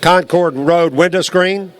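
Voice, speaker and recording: A man working as a livestock auctioneer, rolling out a rapid rhythmic chant of repeated bid numbers and bidder names. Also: sits high, steady tone.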